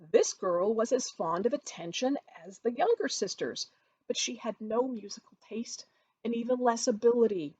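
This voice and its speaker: A woman reading her novel aloud as expressive storybook narration, with dramatic, emphatic phrasing. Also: a little high, husky, no echo, dull audio. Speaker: author reading from their own novel.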